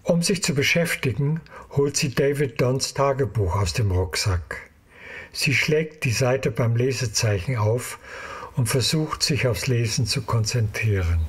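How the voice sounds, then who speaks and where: A man narrating an audio drama in a measured storytelling manner. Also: medium pitch, breathy edge.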